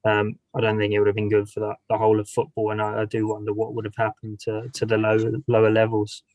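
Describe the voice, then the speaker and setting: A man in his twenties, a football fan, giving an earnest documentary interview in quick bursts. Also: lowish voice, completely flat delivery, darkish tone, no echo. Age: 20s.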